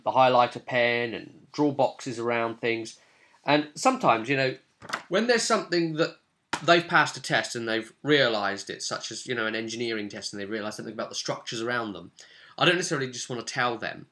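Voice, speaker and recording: A man's voice: medium pitch, brisk, pushed and bright, slight background noise. A man casually narrating a tabletop role-playing session as its game master. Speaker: game master recapping his own tabletop campaign.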